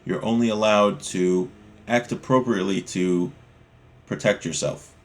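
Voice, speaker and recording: A man's voice: sits low, a little slow. A man teaching a religious law text, reading passages in Hebrew and explaining them in casual, conversational speech. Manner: reads Hebrew aloud then translates in casual speech. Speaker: Torah teacher.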